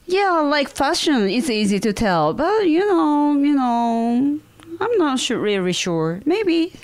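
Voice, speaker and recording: A Japanese woman co-hosting a casual chat in English with a Japanese accent, speaking haltingly and often saying "you know". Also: sits high, big pitch swings, a little mellow. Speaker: co-host.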